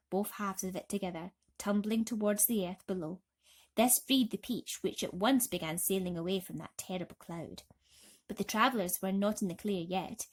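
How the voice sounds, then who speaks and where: A woman narrating a bedtime storybook expressively and dramatically, slowing down for suspense. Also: medium pitch. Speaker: narrator reading bedtime stories.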